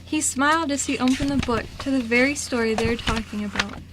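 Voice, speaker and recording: A woman's voice: a little high, a little fast. A woman reading scripted story narration for an amateur radio drama. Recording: noisy amateur radio recording.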